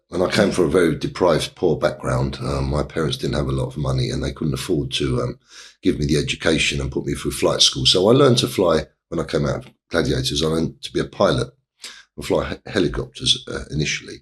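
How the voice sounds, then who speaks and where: A middle-aged man from England, a guest in an interview, telling his story in a halting way with many pauses and restarts. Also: a bass voice, animated. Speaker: interview guest, property developer.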